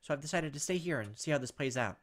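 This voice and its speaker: A man's voice: on the high side, expressive, fast, slight background noise, close to an everyday voice. Man playing a brusque, skeptical woman. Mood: defensive. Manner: curt, defensive. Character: brusque skeptical woman, secretive, hiding her fear. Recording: music behind the voice.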